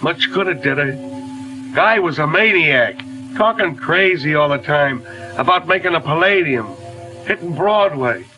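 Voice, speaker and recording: A man's voice: fairly high pitch, expressive, a little slow, sharp tone, rough recording. An actor playing a breezy, slangy male tap dancer in a 1940s radio drama, rambling in showbiz talk. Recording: 1940s radio broadcast with music.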